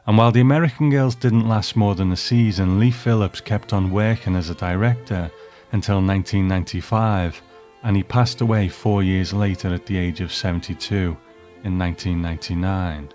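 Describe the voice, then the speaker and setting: A man's voice: low voice, normal speed, a little mellow, soft background music. A man hosting a review, narrating from a script. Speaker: host.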